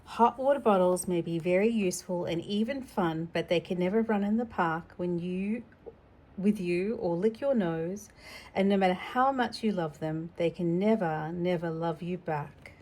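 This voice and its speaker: A woman's voice: fairly low pitch, moderate pace, a little mellow. A woman reading a picture book aloud to a young child, expressively, with chatty asides. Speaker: storytime reader.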